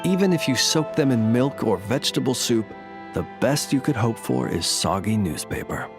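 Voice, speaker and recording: A gentle, modest gentleman from a video game, played by a male actor. His mid-pitched voice is polite and measured, with wry understatement. Music is clearly heard behind him. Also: animated, close to an everyday voice. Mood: wryly amused. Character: courteous, gentle male lantern bearer, modest and wryly humorous. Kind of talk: video game character dialogue, storytelling.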